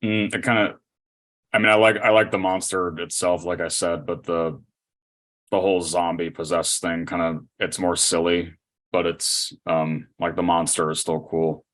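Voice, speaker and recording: Male podcast guest, very low voice, laconic, hesitant, casual. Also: level tone, moderate pace, a bit bright. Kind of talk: casual chat.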